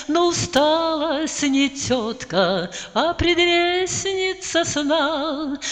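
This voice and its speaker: A woman singing a folk-style song with melodic, drawn-out phrasing. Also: high voice, fairly bright tone. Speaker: singer. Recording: long reverb from a hall. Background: instrumental music accompaniment.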